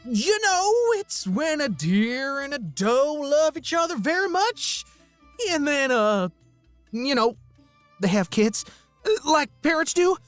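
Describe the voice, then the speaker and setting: Woman as a folksy, nervous young deer boy, high-voiced. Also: very animated, slow, no echo, faint music behind, heavily acted. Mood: awkward. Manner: folksy, awkward, jokey rambling. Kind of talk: acted dialogue in an audio story.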